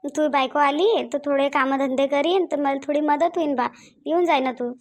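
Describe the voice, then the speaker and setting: A woman's voice: sits high, steady tone, moderate pace, darkish tone, natural voice. A woman voicing a lonely older village mother in a cartoon, nagging and repetitive, with a Varhadi Marathi accent from Vidarbha. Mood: pleading. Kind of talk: cartoon family drama dialogue.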